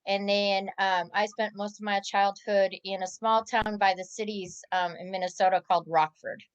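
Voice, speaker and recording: A woman introducing herself to a class in a soft-spoken, hesitant way. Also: mid-range voice, flat delivery, normal speed, clean tone, no room sound, dull audio. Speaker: student.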